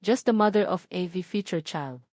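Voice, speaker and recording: A woman's voice: fairly low pitch, expressive, moderate pace, darkish tone, no echo, studio quality, natural voice. A woman voicing a cold, detached male billionaire husband in a romance story. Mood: cold, dismissive.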